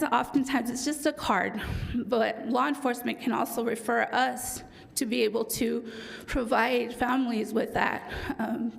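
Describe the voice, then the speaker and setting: Raspy-voiced woman presenting to council. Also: on the high side. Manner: frequent ums, self-correcting. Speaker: police department presenter. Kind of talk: formal presentation to city council. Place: council chamber.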